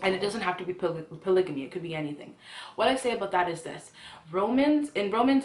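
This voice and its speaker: A young woman from Ghana giving a casual, earnest devotional talk to viewers in a conversational way. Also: fairly low pitch. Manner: earnest, conversational, poses questions to listeners. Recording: echoey room.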